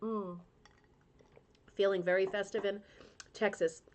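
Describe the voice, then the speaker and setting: A woman's voice: medium pitch, steady tone, a little slow. Chatty woman hosting a livestream. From Rhode Island, United States. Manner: chatty and emotional, with frequent tag questions. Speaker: host of a crafting club livestream. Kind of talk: casual livestream chat, reading viewer comments.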